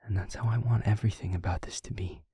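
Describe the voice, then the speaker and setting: A man playing a gentle, devoted vampire boyfriend in an intimate audio roleplay, speaking tenderly and earnestly in a husky half-whisper. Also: on the low side, fairly even intonation, no echo, studio quality. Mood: earnest, loving. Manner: slow, halting, tender, earnest delivery. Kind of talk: intimate audio roleplay, ASMR-like scripted acting.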